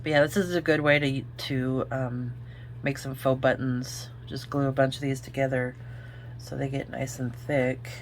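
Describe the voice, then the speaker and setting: A woman with an Oklahoma accent hosts a relaxed crafting tutorial, talking through each step and thinking aloud as she works. Her voice sits low with a gravelly edge, over a faint noise in the background. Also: moderate pace. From Oklahoma, United States. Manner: chatty, thinks aloud while working. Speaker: craft video host.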